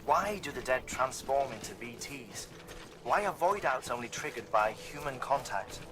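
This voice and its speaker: An actor playing an erudite, theatrical male scientist in a video game, lecturing formally and deliberately. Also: medium pitch, animated, natural voice. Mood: grave, pondering. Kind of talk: scripted game exposition.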